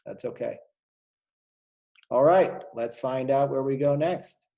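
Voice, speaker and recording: A man teaching kindergarten, reading a storybook aloud to young children and asking them questions in an animated, encouraging way. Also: mid-range voice, takes it slowly, gravelly.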